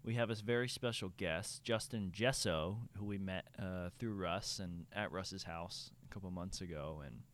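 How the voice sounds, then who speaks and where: A man's voice: deep voice, moderate pace, hardly any pauses, fairly bright tone, a little hiss or hum. A young man co-hosting a podcast, chatty and laughing often as he questions his guest. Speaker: podcast co-host. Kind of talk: casual podcast chat.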